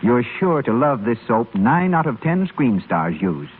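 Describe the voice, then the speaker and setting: A man's voice: medium pitch, sing-song, unhurried. A man announcing a commercial in a scripted 1930s radio sales style. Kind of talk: 1930s radio advertising pitch. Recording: muffled scratchy 1930s radio broadcast.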